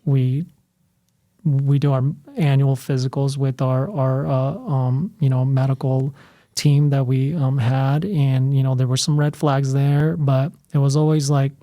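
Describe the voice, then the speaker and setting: Middle-aged male podcast guest, mellow tone, rambling with fillers, dry close sound. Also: mid-range voice, steady tone, measured pace, dry recording. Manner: rambling, frequent "you know" fillers. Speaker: guest, fire academy training cadre member. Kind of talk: conversational podcast interview.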